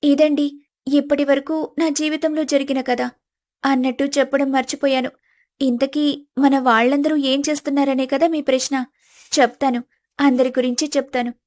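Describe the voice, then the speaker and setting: Woman narrating a scripted family story, pretty high voice. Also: flat delivery, moderate pace, darkish tone.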